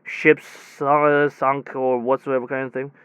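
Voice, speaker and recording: A man playing a technician in an audio drama, recounting his workday in a halting, rambling, repetitive way, often interrupting himself. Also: mid-range voice, flat delivery, fairly bright tone, clean tone, no echo. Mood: rambling recollection. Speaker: air conditioner installation technician. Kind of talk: conversational audio drama monologue.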